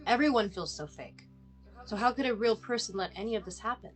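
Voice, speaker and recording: A woman's voice: mid-range voice, a little fast, soft background music, close to an everyday voice. Shaken, earnest woman survivor played by a woman. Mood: fragile, lost. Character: shaken woman survivor, self-doubting yet angry and defiant. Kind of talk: film script table read.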